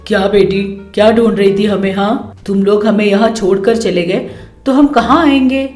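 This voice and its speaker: A boastful old mother-in-law , voiced by a woman, who orders people about and scolds in a loud, blunt way. Her voice is mellow and dark. Faint music plays behind a roomy echo. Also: mid-range voice, steady tone, normal speed, close to an everyday voice. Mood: grumbling. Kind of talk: cartoon story voice acting.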